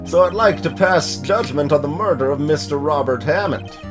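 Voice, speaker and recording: A man voicing a solemn elderly courtroom judge in a video game, with a formal, deliberate delivery. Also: a little high, over music, close to an everyday voice.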